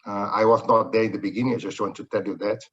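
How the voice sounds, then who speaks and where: A man's voice: lowish voice, steady tone, quick pace, slightly rough, lo-fi sound. A middle-aged man from Brazil with a Brazilian Portuguese accent, answering interview questions over a video call in friendly, slightly broken English. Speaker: company executive, guest.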